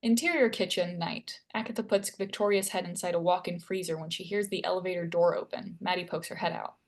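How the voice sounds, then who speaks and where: A woman narrates a screenplay at a table read. Her voice is a bit low as she reads out stage directions and scene headings in a scripted style. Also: steady tone, a little fast.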